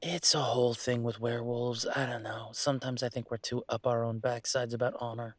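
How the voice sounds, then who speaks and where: Very dry, close sound with a young man's conversational voice at a middling pitch. A male actor plays a restless werewolf heir in an audio roleplay, rambling to a friend. He is wry and a little wistful. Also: level tone, brisk, no room sound, natural voice. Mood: troubled, resigned. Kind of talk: intimate audio roleplay acting, one side of a conversation.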